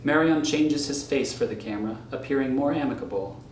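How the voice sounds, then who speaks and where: A man's voice: mid-range voice, fairly quick. A man narrating a script, reading out scene headings and stage directions. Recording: echoey room, faint noise.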